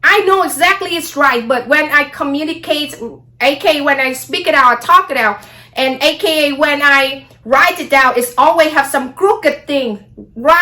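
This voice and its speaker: A woman's voice: sits high, bright, forceful tone. A woman, an English speaker, talking casually about astrology in a rambling way and often checking in with her listeners. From Vietnam. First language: Vietnamese. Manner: rambling, frequent "okay?" and "blah, blah" asides. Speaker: astrology vlogger.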